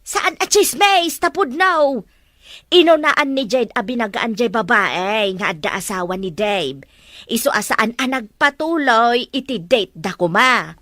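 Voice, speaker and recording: A woman's voice: a little high, expressive, a little slow, a bit bright, no room sound, studio quality. A woman acting a scolding, disapproving mother in a drama. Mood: indignant, gossipy. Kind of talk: Ilocano-language drama dialogue.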